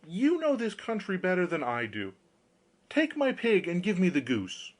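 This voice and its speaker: A gullible, cheerful young storybook lad, acted out by a male reader. His delivery is exclamatory and naively eager, and his pitch leaps around in a very lively way. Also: normal speed, full character voice. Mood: frightened, pleading. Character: cheerful, gullible young man, easily pleased with bargains.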